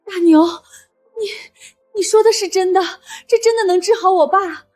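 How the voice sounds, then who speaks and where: An actress playing an outspoken, practical young sister-in-law from the city, with an expressive, persuasive manner. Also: female voice, high-pitched, fast, dry recording, soft background music. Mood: surprised. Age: young adult. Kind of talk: scripted drama acting.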